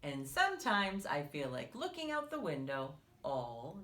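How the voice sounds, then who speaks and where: Very animated, slow-paced woman reading to children. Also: low voice. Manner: animated, exaggerated expression, asking the child questions and pausing for answers. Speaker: children's story time reader. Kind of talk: read-aloud picture book storytelling for young children.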